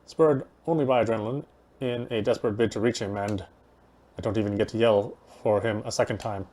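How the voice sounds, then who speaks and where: A man's voice: on the low side, steady tone, a little fast, a little mellow. A man reading a game's story aloud as dramatic narration, as a player would. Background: game music.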